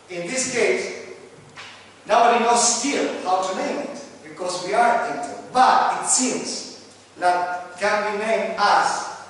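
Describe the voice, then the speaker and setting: Middle-aged Argentine-accented lecturer, high for a man, halting and joking, heard from far off in an echoey hall. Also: a bit bright, slight background noise. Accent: Argentine Spanish. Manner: halting, self-correcting, joking with the audience. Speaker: lecturer and coach. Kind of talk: live lecture to an audience.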